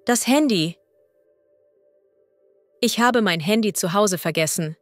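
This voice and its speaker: A woman as a language teacher reading idioms and vocabulary slowly and clearly. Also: medium pitch, lively intonation, no room sound, faint music behind. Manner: slow, clear and deliberate.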